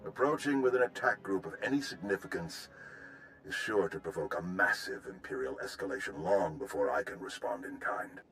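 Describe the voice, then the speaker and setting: Earnest game general: low voice, formal and measured, with a lively melodic range. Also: male voice, vocal fry, exaggerated voice, rough recording. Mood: grave, cordial. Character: earnest male Republic general pleading for help. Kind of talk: video game cutscene acting.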